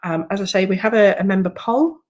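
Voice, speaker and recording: A woman with a cold and a slightly croaky voice, walking a webinar audience through her online group in a chatty way with many fillers and restarts. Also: medium pitch, quick pace. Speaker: writing coach. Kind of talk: screen-share webinar walkthrough.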